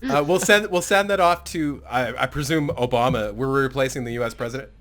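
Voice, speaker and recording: A man hosting a casual gaming stream, jokey and bouncy, his voice jumping from very low to very high. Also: fairly quick, a bit bright. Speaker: stream host and tournament organizer.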